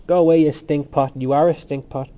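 A man voicing a gruff, scornful male student in a story, speaking vehemently and rudely. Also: mid-range voice, moderate pace, dark tone, dull audio, natural voice.

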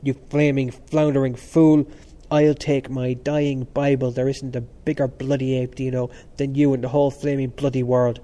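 A man's voice: medium pitch, level tone, moderate pace, few pauses, close to an everyday voice. A man reading as a scornful, swearing young student. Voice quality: blunt, swearing, loud. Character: rough-tongued young male student, scornful.

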